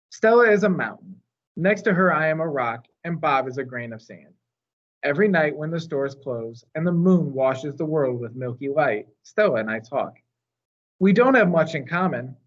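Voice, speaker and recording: Higher-voiced man reading a story, muffled recording. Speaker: storytime reader. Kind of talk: reading a children's novel aloud.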